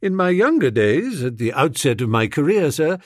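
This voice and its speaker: A man voicing a discreet, deferential English valet in an audiobook, formal, measured and deadpan. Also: a little high, dry recording, studio quality. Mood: dryly informative.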